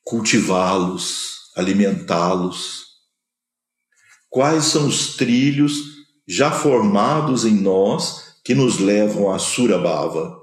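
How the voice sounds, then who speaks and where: A man from Minas Gerais, Brazil, speaking with a Minas Gerais accent, gives a slow, measured spiritual lecture, pausing between short phrases. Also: lowish voice, lively intonation, roomy sound. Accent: Brazilian Portuguese, Minas Gerais. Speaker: spiritual teacher. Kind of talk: spoken lecture on scripture.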